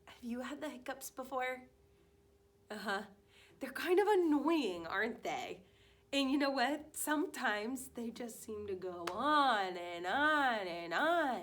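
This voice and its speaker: A woman teaches kindergartners through a story read-aloud. Her voice sits a little high and moves at a very unhurried pace. She is expressive and puts questions straight to the children. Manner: expressive, questioning, addressing small children directly.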